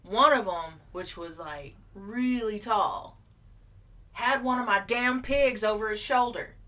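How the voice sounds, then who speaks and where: A performer acting out a put-upon country guy giving an eyewitness account in a comedy skit. The voice is bright and forward, with a hesitant, deadpan delivery at a middling pitch. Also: takes it slowly. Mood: bemused. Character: male rural eyewitness to Bigfoot, deadpan, put-upon pig owner. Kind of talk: improvised comic interview.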